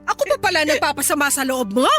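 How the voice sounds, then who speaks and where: An actress with a Filipino accent playing a blunt, nagging older mother in a radio drama, sharp-tongued and easily offended. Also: sits high, fast talker, soft background music.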